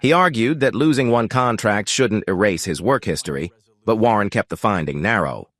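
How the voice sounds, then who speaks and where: A man narrating a first-person story in a measured, sober way. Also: fairly low pitch, lively intonation, fairly bright tone, no echo.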